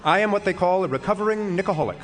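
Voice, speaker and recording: An actor playing a pompous, self-dramatizing retired philosophy professor in a stage comedy, speaking in wordy, self-mocking speeches. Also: male voice, high voice, expressive, racing, a crowd in the background, background noise. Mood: grandiose, self-mocking. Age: older. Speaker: actor in an audio play.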